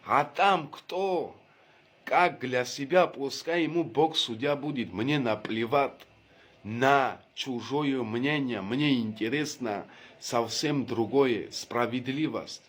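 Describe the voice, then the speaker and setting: Earnest man pleading directly. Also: lowish voice. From Abkhazia. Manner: earnest, emphatic, repeats himself. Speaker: video blogger making a public apology. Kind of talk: direct-to-camera personal appeal.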